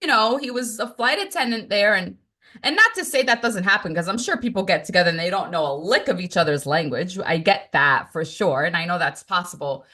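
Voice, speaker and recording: A woman from Morocco whose first language is Moroccan Arabic, telling a family story as a podcast co-host in an animated, emphatic way with frequent laughs. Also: fairly low pitch, fairly quick. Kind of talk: casual storytelling chat.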